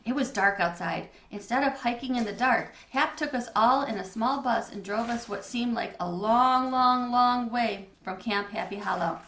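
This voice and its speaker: A woman's voice: a little high, normal speed, hardly any pauses, a bit bright. A woman reading a children's storybook aloud as narrator in an echoey room. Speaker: read-aloud reader.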